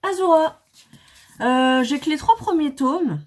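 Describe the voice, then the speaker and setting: A woman's voice: high-pitched, animated, takes it slowly. A woman reviewing her manga in a casual, hesitant way, with many fillers and restarts. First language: not English. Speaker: manga vlogger. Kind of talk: casual book review chat.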